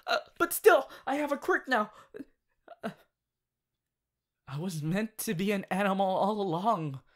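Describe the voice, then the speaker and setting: Girl doing a cute excitable anime bunny hero boy, soft asmr roleplay, slow talking, really up and down. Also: mid-range voice. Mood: amazed, excited. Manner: hesitant, giggly, swinging from murmurs to excited exclamations. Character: affectionate, excitable young male anime hero turned bunny. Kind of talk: ASMR audio roleplay, acted monologue.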